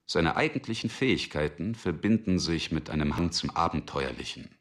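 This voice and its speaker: A man narrating a radio drama from a script in a measured, sober manner. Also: sits low, expressive, a bit bright.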